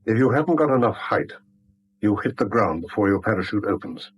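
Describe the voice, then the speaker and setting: An actor playing a principled, haunted war veteran in a British radio drama, grave, clipped and stubborn, with a British accent. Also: male voice, on the low side, lively intonation, fairly quick, husky, poor audio. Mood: bitter grief. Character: principled, haunted male war veteran turned bank employee. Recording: vintage radio recording with faint hiss.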